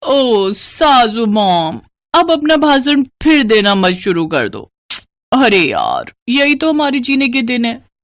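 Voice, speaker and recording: A woman's voice: fairly high pitch, very animated, slow pace, no room sound, studio quality, voice pushed up, heavily acted. A woman voicing a spoiled, insolent young daughter-in-law in a cartoon story, with a whiny way of talking. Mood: defiant, bratty. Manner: whiny, insolent, mixes in English slang. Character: brash spoiled young modern daughters-in-law, bratty and defiant. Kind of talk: acted cartoon story dialogue.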